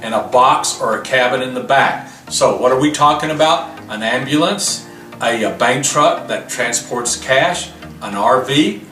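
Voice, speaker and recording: A man's voice: medium pitch, a little slow, pushed and bright. A man giving a plainspoken, step-by-step product demonstration, often posing a question and answering it himself. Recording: roomy, distant mic, music behind.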